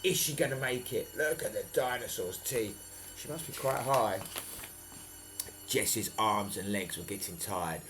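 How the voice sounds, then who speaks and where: A man's voice: on the low side, a little slow, fairly bright tone, a little hiss or hum. A man reading a storybook dramatically and breaking off for chatty, playful asides.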